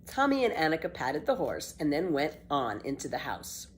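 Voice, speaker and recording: A woman narrating a children's book as an expressive, measured storyteller. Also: low voice, a little hiss or hum.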